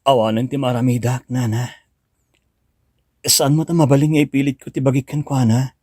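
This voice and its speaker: A man's voice: mid-range voice, fairly even intonation, fairly quick, a little mellow, slightly rough, no echo, clean studio sound, natural voice. An actor with an Ilocano accent playing a heartbroken, betrayed young man in a radio drama, emotional and reproachful. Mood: dejected, resigned.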